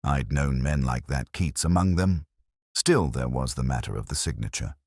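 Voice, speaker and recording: A man playing a weary, cerebral police inspector, narrating a detective audio drama in a measured, brooding, literary way with a gravelly voice. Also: very deep voice, expressive, darkish tone, dry recording, studio quality, full character voice. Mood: grave, pondering.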